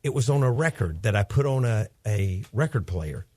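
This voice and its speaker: A man's voice: on the low side, expressive, moderate pace, darkish tone, no echo. A man in his fifties hosting a radio show, talking in an upbeat, chatty, rambling way with his young son as guest. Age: 50s.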